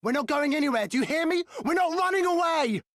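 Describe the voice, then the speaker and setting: An actor playing a defiant, guilt-ridden young rebel in a game cutscene, emotional, earnest and intense. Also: male voice, very high-pitched, expressive, fast, fairly bright tone, no room sound, clean studio sound. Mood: defiant anger. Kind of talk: dramatic game cutscene acting.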